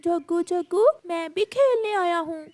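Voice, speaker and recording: A woman's voice: very high-pitched, measured pace, darkish tone, no echo. A woman voicing a small, innocent, pleading boy bird in a children's cartoon. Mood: eager. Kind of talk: Hindi children's cartoon dialogue.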